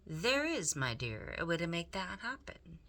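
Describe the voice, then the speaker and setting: A woman's voice: on the low side, very animated, a little slow, sharp tone, full character voice. A woman reading a storybook aloud, voicing a suave, scheming male rat with theatrical, formal, ingratiating manners. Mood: persuasive, scheming. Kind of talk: storybook character read aloud.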